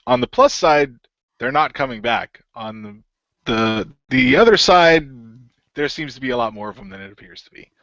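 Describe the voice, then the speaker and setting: A man's voice: mid-range voice, big pitch swings, normal speed, creaky, fairly bright tone, dry recording, muffled recording, pitched above the performer's own voice. A man voicing a cheerful, playful winged creature fighter in an audio role-play. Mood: upbeat, wry.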